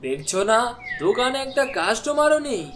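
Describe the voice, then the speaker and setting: Woman voicing a highish, grumbling market shopkeeper. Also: sing-song, a little fast, a bit bright, slight background noise. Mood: complaining. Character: complaining male village market shopkeeper. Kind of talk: cartoon dialogue voice acting. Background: background music.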